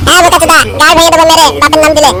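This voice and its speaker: Brash little cartoon boy, very high pitched-up voice, insulting, quick. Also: level tone. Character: cheeky, foul-mouthed little boy. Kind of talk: comic cartoon dialogue.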